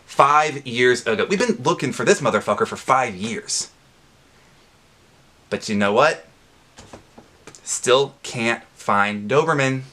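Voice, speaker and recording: A man's voice: on the low side, slight background noise. An actor playing a menacing, sarcastic male drug supplier in a crime drama, theatrical and threatening. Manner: theatrical, sarcastic, swings from hushed threats to shouting. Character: menacing, mocking male drug supplier, old friend turned boss. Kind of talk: dramatic screen acting.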